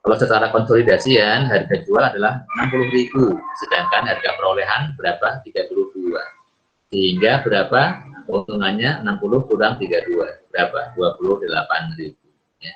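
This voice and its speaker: Low-pitched Indonesian man lecturing, muffled sound. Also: brisk, a little hiss or hum. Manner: didactic, asks and answers own questions. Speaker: accounting lecturer. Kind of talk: recorded lecture explaining slides step by step.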